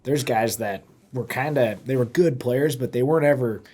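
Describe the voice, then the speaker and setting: A man's voice: mid-range voice, moderate pace, darkish tone, slightly rough. Young American man, a coach, chatting. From Iowa, USA. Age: young adult. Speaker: interview guest, high school hockey coach. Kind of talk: casual podcast chat.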